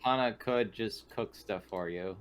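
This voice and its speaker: A man with a somewhat low voice talks through options in a tabletop game. His delivery is casual, everyday conversation. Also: a little slow, smooth. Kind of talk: casual chat. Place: online voice call.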